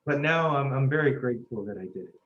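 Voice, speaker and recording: A man in his thirties, originally from South Korea, recounts his life in an oral history interview. His mid-pitched voice is earnest and steady, and the recording sounds dull and lo-fi. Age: 30s. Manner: earnest, measured storytelling. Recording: muffled lo-fi recording.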